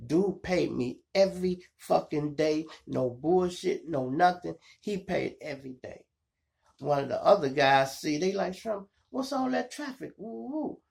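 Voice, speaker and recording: A man with a Chicago accent and a gravelly voice, telling street stories casually to his viewers in slangy, profane talk. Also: a little high. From Chicago, United States. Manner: animated retelling, slangy, profane, acts out dialogue and sound effects. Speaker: storytelling podcast host.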